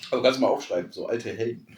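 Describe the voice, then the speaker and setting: A man's voice: mid-range voice, background noise. A man, chatting casually as a podcast co-host. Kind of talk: casual nerd chat. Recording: echoey room.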